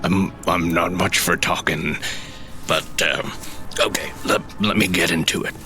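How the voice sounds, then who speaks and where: Very low-voiced, hesitant fantasy forager. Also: male voice, fairly even intonation, sharp tone, slight background noise. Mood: nervous, halting. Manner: hesitant, halting, not much for talking. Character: shy, halting male mushroom forager and craftsman in a fantasy world. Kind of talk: immersive fantasy audio drama acting.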